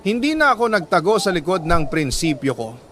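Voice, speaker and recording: A Filipino man reading a dramatic story in an earnest, emotive way with weighty pauses. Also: on the high side, big pitch swings, a bit bright, slight background noise. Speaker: storyteller reading a listener's letter. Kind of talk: dramatic scripted story narration.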